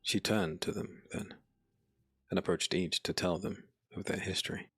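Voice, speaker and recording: A man narrating a dark fantasy audiobook in a solemn, dramatic, scripted style. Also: low-pitched, fairly even intonation, moderate pace, creaky, husky, no echo, studio quality. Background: background music.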